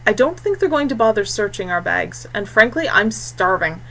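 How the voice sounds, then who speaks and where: A woman's voice: mid-range voice, sing-song, normal speed, gravelly, sharp tone, a little hiss or hum, exaggerated voice, rough recording. A woman voicing a resolute, protective young man, heir to a fort, firm and blunt, in an audiobook.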